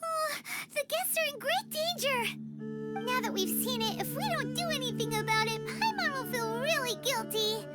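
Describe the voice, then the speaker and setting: A woman voicing a tiny, excitable childlike girl companion in an animated game style. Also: very high-pitched, moderate pace, over music. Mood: worried. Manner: childlike, excitable, speaks of herself in third person.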